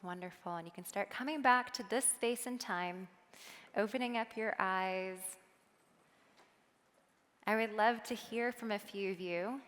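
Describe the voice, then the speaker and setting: A woman keynote speaker on a stage, guiding a meditation softly and then talking with the audience in a chatty way. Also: medium pitch, long reverb tail. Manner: soft, slow guiding then lively chatty with laughs. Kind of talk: guided meditation and audience talk. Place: large hall on a stage.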